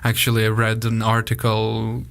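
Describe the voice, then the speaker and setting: A man's voice: on the low side, monotone, normal speed, creaky, fairly bright tone. A young man from Estonia whose first language is Russian, chatting casually as a podcast guest and leaning loosely on filler words. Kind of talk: casual conversation.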